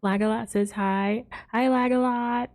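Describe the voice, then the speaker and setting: A woman's voice: fairly high pitch, steady tone, unhurried, a little mellow. A woman hosting a casual gaming livestream, chatting with viewers in a bubbly, giggly way. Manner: bubbly greetings, giggles often. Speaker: live streamer host.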